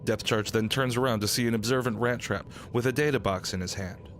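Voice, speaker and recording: A man's voice: mid-range voice, fairly quick, fairly bright tone, slight background noise. A man narrating a radio drama from a script.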